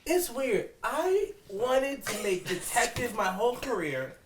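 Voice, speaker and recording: A man's voice: very high voice, big pitch swings, a little slow, a little hiss or hum, pitched below the performer's own voice, exaggerated voice. A man reading the part of a jokey, rambling, unsure police detective at a loose table read. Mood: sheepish, unsure. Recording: loud laughing group in the room.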